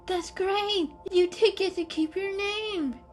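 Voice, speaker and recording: Woman voicing an excitable high-pitched little boy kitten. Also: takes it slowly, soft background music. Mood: excited, delighted. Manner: squealing, bouncy, eager. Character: excitable young male kitten, eager and curious.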